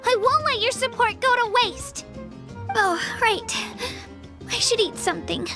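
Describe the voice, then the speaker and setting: A woman's voice: very high voice, animated, a little slow, full character voice. A woman voicing an earnest, eager and nervous young girl fighter in a video game, with a childlike voice and a self-effacing manner. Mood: earnest resolve. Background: game music.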